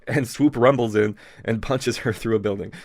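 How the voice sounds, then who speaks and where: A man's voice: mid-range voice, moderate pace, a little mellow, dry recording, studio quality. A man hosting a comedic read-aloud of fan fiction, slipping in mocking asides and ad-libbed jokes. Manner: mocking, ad-libs jokes while reading.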